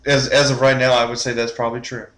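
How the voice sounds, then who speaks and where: A man's voice: mid-range voice, little pitch movement, fast talker, a bit bright, reverberant. A man with a Texan accent co-hosting a casual gaming chat, joking and telling anecdotes. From Texas. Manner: laid-back, joking, tells anecdotes with quoted dialogue. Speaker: gaming podcast co-host.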